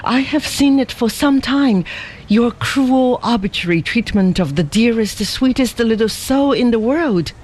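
A fiery young woman from an old storybook scolds a man hotly and without letup, as a male narrator voices her. The voice is raised well above his own and leans hard on each reproach. Slow and deliberate, she presses every word. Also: very high voice, a little mellow, slight background noise, pitched above the performer's own voice, full character voice. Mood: indignant. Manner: scolding, emphatic. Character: indignant young American woman, outspoken and persistent.

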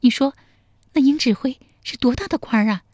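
A woman voicing a bossy, bold young country woman in a storybook, sharp-tongued and teasing. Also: high-pitched, a little fast, husky, no room sound, clean studio sound, voice pushed up. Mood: spirited chatting. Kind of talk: dramatized storybook dialogue.

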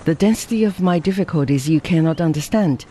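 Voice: mid-range voice, a little fast, dark tone, a little hiss or hum, close to an everyday voice. Reader doing a crushed, formal husband. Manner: formal, subdued.

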